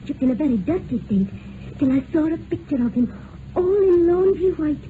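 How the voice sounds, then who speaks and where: High-voiced maid, old radio. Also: female voice, expressive, normal speed, phone-quality sound. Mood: chatty, wry. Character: working-class lodging-house maid, chatty and plain-spoken. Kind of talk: old radio drama acting. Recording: noisy, phone-thin old radio recording.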